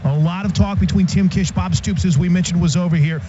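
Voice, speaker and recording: Fast high-voiced man reporting on football. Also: steady tone. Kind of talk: live radio sports report. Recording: dull radio audio with stadium noise.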